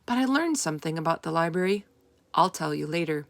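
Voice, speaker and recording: A woman's voice: deep voice, expressive, fairly quick, creaky. A woman voicing a small, earnest, eager male hamster in a storybook, chirpy and emphatic.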